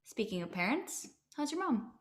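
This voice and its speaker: Woman acting blunt ex-girlfriend, thirty, highish voice. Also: sing-song, normal speed. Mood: needling. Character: blunt, exasperated thirty-year-old ex-girlfriend. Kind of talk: casual comedy script table read.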